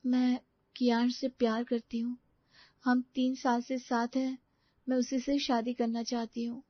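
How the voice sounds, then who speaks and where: A woman's voice: a little high, flat delivery, unhurried, no echo, dull audio, voice pushed up, close to an everyday voice. A woman voicing an earnest, emotional young woman in love in a story. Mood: earnest, pleading.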